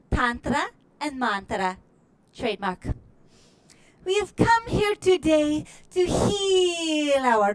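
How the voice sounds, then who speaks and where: A woman's voice: high voice, rough recording. A woman comedian voicing a vain, snippy new-age diva guru in a grandiose manner with a put-on, hard-to-place accent. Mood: grandly serene, then soothing, then snappish. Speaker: comedy performer. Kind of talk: character comedy monologue.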